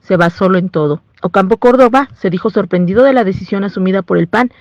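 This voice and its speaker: Mellow Mexican woman reporting news. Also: mid-range voice, fast, no room sound, highs cut off. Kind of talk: scripted news report narration.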